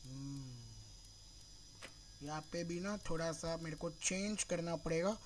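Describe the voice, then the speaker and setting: A man from Mumbai streaming a cricket video game, slightly hoarse from a sore throat, thinking aloud and calling his viewers "bro" in nearly every phrase. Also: a little high, measured pace, a little hiss or hum. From Mumbai, India. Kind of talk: live gameplay stream chat.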